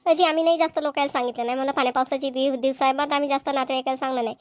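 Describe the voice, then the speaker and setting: A woman's voice: sits high, fairly even intonation, racing, no echo, studio quality, natural voice. A woman voicing chatty village women in a cartoon, with a Vidarbha Marathi accent and a folksy, colloquial manner. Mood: sociable. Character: chatty village women gossiping at a family engagement. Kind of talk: amateur cartoon dialogue acting.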